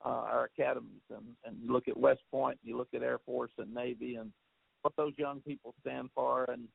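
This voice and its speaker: A football coach talks over a tinny phone line in a very gravelly, husky voice. He rambles, repeating words and filling gaps with "uh". The sound is dry and close. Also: mid-range voice, fairly even intonation, normal speed, dry recording. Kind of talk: press conference call answers.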